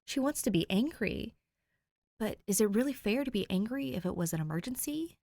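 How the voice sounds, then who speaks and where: Woman fan fiction reader, expressive narration, excitable chat, dry sound. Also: on the high side, fairly quick, gravelly, no echo. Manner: expressive, chatty and excitable when talking to listeners. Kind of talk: reading fiction aloud, then casual chat.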